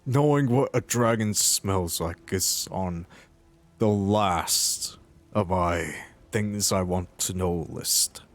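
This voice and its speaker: Role-play gamer: man with a deep voice, unhurried and halting, thinking aloud in character over soft music. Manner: halting, musing. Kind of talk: in-character improvised game narration.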